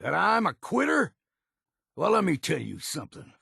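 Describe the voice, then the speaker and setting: An actor playing a gruff, proud, older male island hunter in a video game, with a gravelly, husky voice and folksy, rustic speech. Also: high voice, wildly swooping pitch, normal speed, pushed and bright, no echo, studio quality, full character voice. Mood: stubborn, indignant. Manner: folksy rustic speech with dropped grammar and ain't. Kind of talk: video game dialogue acting.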